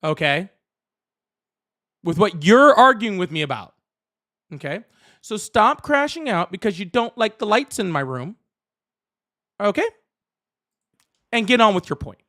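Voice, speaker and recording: A man's voice: sits high, sing-song, a little slow, pauses often, bright, forceful tone. A man in his late thirties hosting a heated political debate from his home office, curt and sarcastic. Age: 30s. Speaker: debate host.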